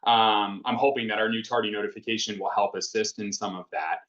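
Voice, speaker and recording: Low-voiced man, muffled call audio. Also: steady tone, a little fast, a bit bright. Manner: earnest, reassuring, filler-heavy. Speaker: school principal. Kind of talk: answering questions at a virtual town hall. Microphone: video call. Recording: dull lo-fi video call audio.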